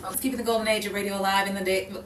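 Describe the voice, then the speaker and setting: Woman, excitable radio drama announcer, teasing banter, distant from the mic, loud noisy background. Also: medium pitch, racing. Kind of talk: casual rehearsal banter with bits of announcing. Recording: roomy, distant mic, loud noise and clicks.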